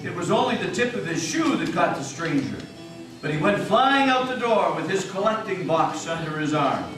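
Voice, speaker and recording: Male narrator for live dramatic reading, high-pitched voice, strongly echoing hall. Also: very animated, moderate pace. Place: meeting house hall. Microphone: distant microphone. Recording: echoey hall, distant mic, music.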